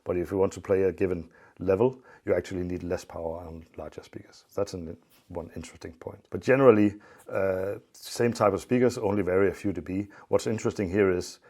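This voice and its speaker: A man giving an unscripted technical explanation as an expert, in a measured, matter-of-fact way with some hesitation. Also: low voice, darkish tone. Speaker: loudspeaker company expert.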